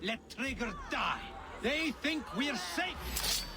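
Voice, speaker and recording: An actor playing a fiery zealot who leads archers in a video game, speaking in a commanding, oratorical manner. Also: male voice, very high voice, animated, slow, noisy background, heavily acted. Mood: grieving, furious. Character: fiery rebel archer leader, a male zealot rallying townsfolk. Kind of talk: dramatic game acting, rousing speech.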